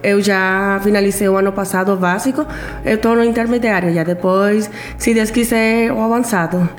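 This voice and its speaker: A woman from Venezuela, a native Spanish speaker with a Venezuelan accent, answering casually in simple, slightly halting phrases. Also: mid-range voice, steady tone, faint music behind. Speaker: interview guest, language student.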